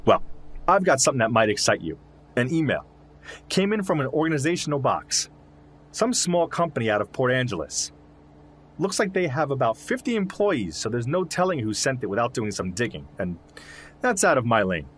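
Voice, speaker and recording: A man's voice: mid-range voice, very animated, a little fast, a bit bright, a little hiss or hum. An actor playing a concerned, loyal male zoologist friend in an audio drama, speaking earnestly and reassuringly. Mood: intrigued.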